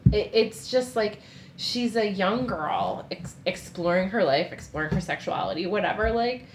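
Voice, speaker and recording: A woman's voice: medium pitch, expressive, moderate pace. A woman co-hosting a podcast from home in casual chat, wry and sarcastic, laughing often. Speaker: podcast co-host. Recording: echoey room with faint noise.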